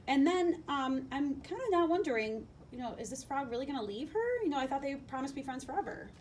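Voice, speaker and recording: A woman teaching young children, expressive and questioning, explaining a story in simple terms. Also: fast. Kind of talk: children's lesson discussion. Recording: slightly distant mic, faint rumbling noise.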